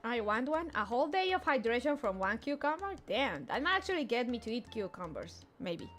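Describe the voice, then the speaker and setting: A woman's voice: on the high side, very animated, a bit bright, faint music behind. A young woman streamer reading through a game story aloud, with playful, joking asides. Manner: playful, jokey, quick asides. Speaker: VTuber streamer. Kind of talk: casual game readalong commentary.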